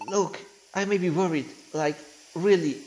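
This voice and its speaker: A non-native English-speaking man voicing an earnest young fire-lizard creature in a video game, halting, emotional and worried but determined. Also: sits high, background noise. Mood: scared, halting. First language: not English. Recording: game music behind the voice.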